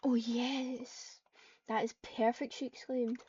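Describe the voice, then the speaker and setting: A woman's voice: a little high, little pitch movement, slow pace, warm tone, husky. A woman narrating an improvised roleplay story in third person, speaking the dialogue and tags herself and repeating phrases as she composes them. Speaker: online roleplayer. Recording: muffled lo-fi mic, noisy with typing clicks. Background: keyboard typing and clicks.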